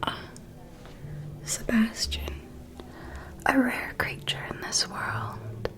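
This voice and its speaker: A woman playing a refined, shrewd vampire noble who gossips in an elegant, deliberate manner in a whispered ASMR roleplay. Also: fairly high pitch, big pitch swings, heavily acted. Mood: intrigued, wry. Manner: slow, deliberate, elegant, hushed insinuation.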